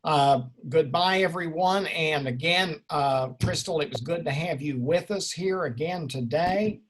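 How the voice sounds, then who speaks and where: A man leading an informal seminar discussion as the instructor, effusive and erudite, rambling and joking, with a slightly husky voice. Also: on the high side, unhurried, a little hiss or hum, low-quality recording. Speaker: instructor leading an online class session.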